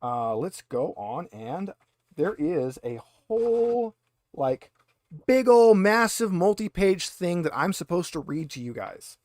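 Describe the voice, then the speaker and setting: A man with a slightly high voice narrates a tabletop game as its game master. His delivery is conversational, dotted with wry asides, and the sound is close and dry with little room in it. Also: very animated, slow, a little mellow, no echo.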